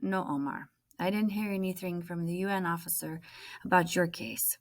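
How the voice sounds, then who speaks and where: A woman voicing a steady male refugee camp leader from a storybook, matter-of-fact and official. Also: fairly low pitch, moderate pace, distant mic, natural voice. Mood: regretful.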